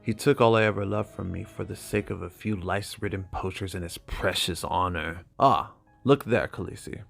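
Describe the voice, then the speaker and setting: A man voicing a seasoned exiled knight and loyal advisor, speaking gravely and with measure in a dramatic fantasy reading. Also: deep voice, normal speed, hardly any pauses, faint music behind, voice pushed down. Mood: grave reflection.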